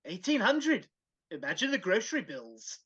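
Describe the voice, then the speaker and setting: A woman playing a grumpy but softening old male miser in a live dramatic reading over a video call. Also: mid-range voice, big pitch swings, normal speed, slightly rough. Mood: sceptical. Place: remote video call at home. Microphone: video call microphone. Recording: slightly dull video-call audio.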